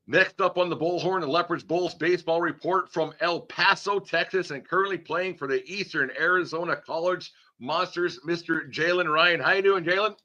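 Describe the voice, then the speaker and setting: A man's voice: fairly high pitch, sharp tone, muffled recording. A man hosting a casual sports interview in a folksy, rambling way, repeating words as he thinks. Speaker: podcast host, interviewer.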